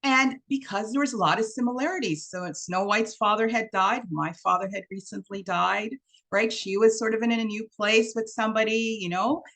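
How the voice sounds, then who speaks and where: A woman's voice: fairly high pitch, normal speed. A woman in her fifties from Trinidad, giving an online workshop talk and telling stories with quoted lines, over a video call. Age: 50s. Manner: warm storytelling, acts out quotes. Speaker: workshop speaker.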